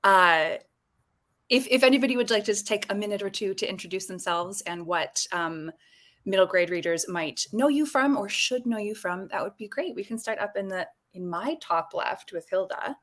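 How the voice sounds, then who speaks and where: A woman's voice: medium pitch, expressive, moderate pace, fairly bright tone. Friendly woman moderating a panel. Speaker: co-host, moderator.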